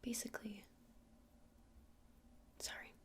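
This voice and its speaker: A woman's voice: slow pace, natural voice. Woman as a whispering, friendly witch shopkeeper. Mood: gentle, helpful. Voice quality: soft breathy whisper. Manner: hesitant, informal explaining. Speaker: ASMR roleplayer. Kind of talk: whispered ASMR roleplay.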